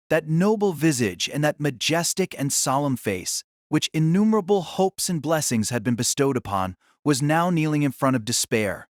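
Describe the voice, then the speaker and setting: Expressive male narrator, solemn and theatrical. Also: a little high, no echo, clean studio sound. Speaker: audiobook narrator. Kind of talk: dramatic scripted novel narration.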